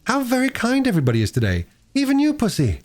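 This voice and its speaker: A man voicing an eager, warm-hearted young girl in a storybook reading, high and breathless. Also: huge pitch swings, quick pace, heavily acted. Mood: excited.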